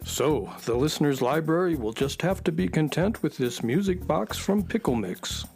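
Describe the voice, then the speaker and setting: High, animated male space traveler. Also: moderate pace, creaky, soft background music. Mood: wondering. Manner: wondering, narrates own actions aloud. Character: earnest, excitable male delivery traveler on alien planet. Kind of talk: children's radio play acting.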